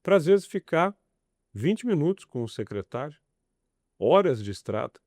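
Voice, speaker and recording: A man's voice: fairly high pitch, very animated, moderate pace, darkish tone, dry recording, clean studio sound. A man, answering interview questions with emphatic, passionate delivery. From Belo Horizonte, Brazil. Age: 40s. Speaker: political candidate guest.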